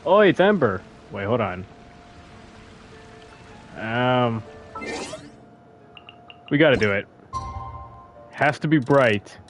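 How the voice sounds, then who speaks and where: A man's voice: mid-range voice, sing-song, slow pace, long pauses. A man giving casual gameplay commentary, rambling and thinking aloud as he plays. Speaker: gaming video creator. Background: game music.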